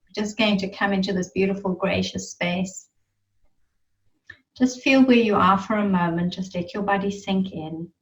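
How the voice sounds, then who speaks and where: A woman leading a guided meditation in a soothing, reverent manner, leaving long pauses between phrases. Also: medium pitch, steady tone. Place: online group gathering. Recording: muffled, roomy, distant online-call sound.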